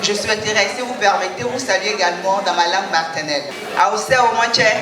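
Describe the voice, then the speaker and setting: A woman's voice: medium pitch, a little fast. A woman giving a ceremonious, exhorting speech at a pageant on a handheld mic. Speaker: guest speaker at a pageant. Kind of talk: formal public address. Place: large hall at a live event. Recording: echoey hall from far off, loud crowd.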